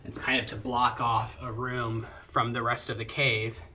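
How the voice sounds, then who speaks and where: A man's voice: mid-range voice, flat delivery, measured pace, pushed and bright, a little hiss or hum. A man in his thirties exploring a cave house on a wooded hillside, explaining what he finds to a handheld camera with curiosity. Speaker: vlogger and father. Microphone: handheld camera, sometimes at arm's length.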